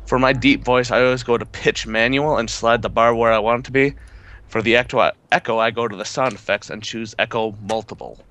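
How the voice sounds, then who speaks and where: A man's voice: mid-range voice, fairly even intonation, a little fast, a bit bright. A man chatting casually with friends over a voice call while playing an online game. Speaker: player in an online horror game. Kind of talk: casual chat while gaming.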